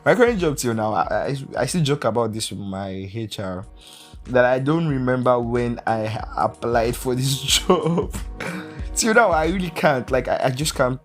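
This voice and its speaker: A man's voice: medium pitch, lively intonation, normal speed, soft background music. A young man in his early twenties hosting a casual solo podcast, rambling, correcting himself and laughing often. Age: young adult. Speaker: podcast host.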